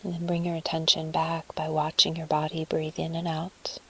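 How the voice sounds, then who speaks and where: Woman, meditation guide, low voice, very even intonation, soft and breathy, soothing, deliberate pauses. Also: poor audio.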